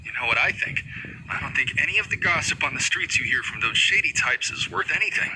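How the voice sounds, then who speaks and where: A man's voice: mid-range voice, lively intonation, fast, slight background noise, poor audio. A man voicing a wary townsman who gossips in a conspiratorial way. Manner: conspiratorial, hushing.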